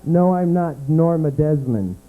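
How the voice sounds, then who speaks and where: Stand-up comic, male, high voice, slow and campy, tinny club recording with hum. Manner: campy, dramatic delivery with sudden swoops into low voice. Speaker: stand-up comedian. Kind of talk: stand-up comedy set. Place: small comedy club.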